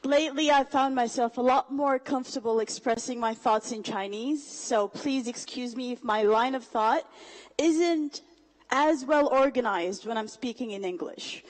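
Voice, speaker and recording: A woman's voice: fairly high pitch, normal speed, rough recording. A young woman from Somalia giving a public speech, engaging the audience with rhetorical questions. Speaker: student speaker.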